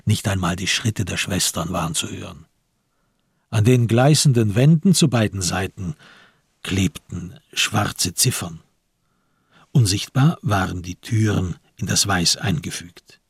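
A man narrating a literary radio drama in a measured, grave and deliberate manner. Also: sits low, vocal fry, breathy edge, no echo, clean studio sound.

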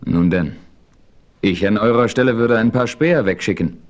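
A man playing a calm, self-assured western hero who narrates his own adventure in a radio play. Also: on the low side, normal speed, lightly acted.

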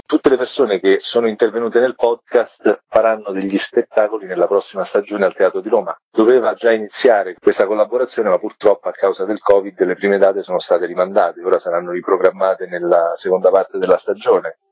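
A man's voice: lowish voice, fairly even intonation, fairly quick, few pauses, darkish tone, husky. A man answering questions as a guest in a radio interview. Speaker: guest, theatre artist. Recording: dull, roomy phone-in sound.

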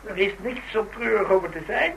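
An actor playing a calm, evasive yet affectionate man in a Dutch radio drama, speaking in a casual, understated way. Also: high-pitched, a little fast, slight background noise, rough recording. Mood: tender, coaxing.

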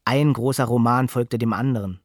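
Casting: male actor as writer's adult son; measured, reflective narrator, mid-pitched, close dry studio. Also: no echo, lightly acted. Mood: calm, reflective. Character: writer's grown son, calmly looking back on childhood. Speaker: actor in a radio play.

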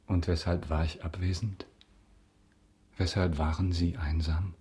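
Avenging nobleman, low voice, stern, bitter, formal delivery, radio play. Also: level tone, mellow, slight background noise. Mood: accusing. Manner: formal, grave, measured. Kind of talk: German radio drama acting.

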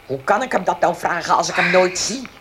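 An actress playing a sardonic, domineering old mistress of a country estate in a Dutch radio play, with pauses and dry chuckles. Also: female voice, on the low side, lively intonation, background noise, lightly acted. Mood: dry, needling.

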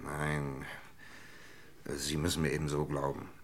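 An actor playing a defensive, evasive suspect in a radio crime drama, indignant and scornful. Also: male voice, extremely low pitch, monotone, unhurried, slight background noise. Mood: sullen.

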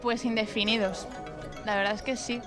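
A woman's voice: on the high side, little pitch movement, normal speed. A woman from Madrid with a Madrid Spanish accent, answering interview questions hesitantly, with many fillers and restarts. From Spain. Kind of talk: casual interview. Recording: loud music behind.

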